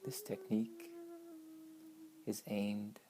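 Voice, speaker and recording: A woman leading a guided meditation in a measured, instructing manner, with long pauses between short phrases. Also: extremely low pitch, huge pitch swings. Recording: voice over meditation music with flute.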